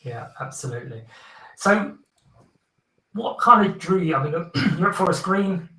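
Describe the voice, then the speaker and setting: A man's voice: high voice, lively intonation, measured pace, sharp tone, roomy sound, distant mic, rough recording. A man hosting a casual podcast, chatty and friendly, laughing often between ums. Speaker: podcast host.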